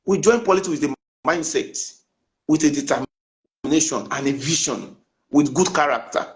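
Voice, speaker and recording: A man's voice: fairly high pitch, moderate pace. A Nigerian man with a Nigerian accent, a politician guest in a heated panel debate, who talks insistently over the host.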